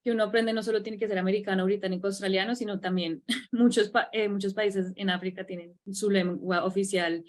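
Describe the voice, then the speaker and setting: A woman's voice: medium pitch, fairly even intonation, fairly quick, a little mellow. A Colombian woman with a Colombian accent hosting an interview, coaching her guest with frequent tag questions. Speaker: host. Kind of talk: conversational interview.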